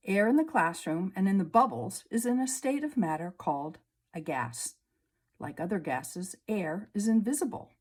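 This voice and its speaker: A woman teacher reading a children's science book aloud, slowly and clearly, at a table indoors. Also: lowish voice, darkish tone. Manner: slow, clear storybook reading. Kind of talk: read-aloud lesson for children.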